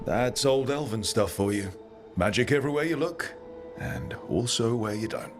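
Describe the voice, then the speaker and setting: An actor playing an easygoing young rebel hero in a fantasy game, speaking in a casual, reassuring way. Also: male voice, mid-range voice, lively intonation, moderate pace, soft background music, lightly acted. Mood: casual, wry. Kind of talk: game dialogue acting.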